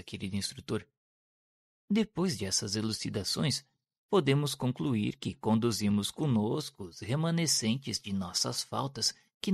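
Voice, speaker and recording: A man's voice: on the low side, creaky, slightly rough, no echo, exaggerated voice. An actor playing a respectful male spirit disciple who asks his teacher earnest questions. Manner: respectful, inquisitive. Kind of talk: radio drama acting.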